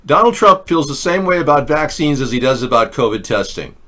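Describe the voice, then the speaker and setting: A man's voice: medium pitch, expressive, brisk, a bit bright. A man hosting a political commentary livestream, talking in a sarcastic, ranting way. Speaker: host.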